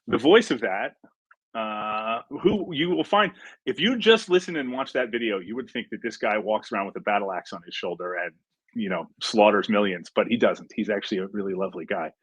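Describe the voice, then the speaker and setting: Man, low voice, dry close sound, casual host, relaxed and chatty. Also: brisk, breathy edge, no echo. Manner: relaxed, chatty, lots of fillers. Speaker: film director hosting a livestream. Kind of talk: casual livestream chat.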